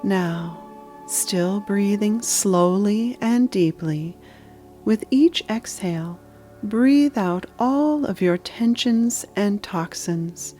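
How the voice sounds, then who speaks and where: A woman narrating a guided meditation in a soothing, measured manner with deliberate pauses. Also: mid-range voice, mellow, soft background music.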